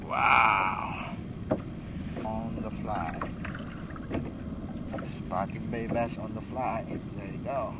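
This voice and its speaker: Excitable middle-aged man whooping on a kayak. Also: lowish voice, very slow, pauses often. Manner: excited whoops and laughter, short exclamations. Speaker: kayak angler filming himself. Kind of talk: live reactions while fishing. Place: kayak on open bay water outdoors. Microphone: action camera mounted on kayak. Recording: wind and water noise.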